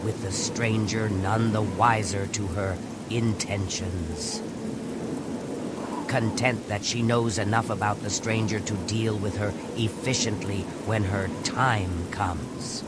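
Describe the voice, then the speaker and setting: Slow, low-pitched male fantasy narrator. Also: fairly even intonation, very noisy. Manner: measured, ominous storytelling. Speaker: story narrator. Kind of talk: scripted dramatic narration in a fantasy game.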